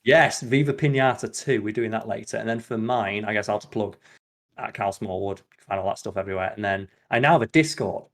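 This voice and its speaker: A man's voice: fairly low pitch, brisk. A man hosting a podcast in casual chat, with a sweary, opinionated way of talking. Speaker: podcast host.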